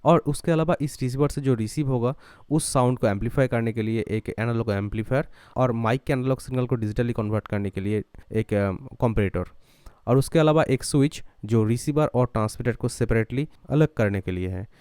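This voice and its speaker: A man's voice: mid-range voice, fairly quick, darkish tone, clean tone, dry recording, studio quality. A man narrating a do-it-yourself tutorial step by step, mixing English terms and phrases into his speech. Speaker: electronics tutorial host.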